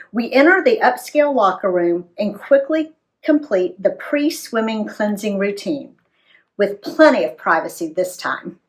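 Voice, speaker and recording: Woman, American accent, memoir read-aloud, expressive storyteller delivery, animated, dramatic. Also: mid-range voice. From United States. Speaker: author reading a travel memoir aloud. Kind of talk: expressive scripted storytelling for young readers.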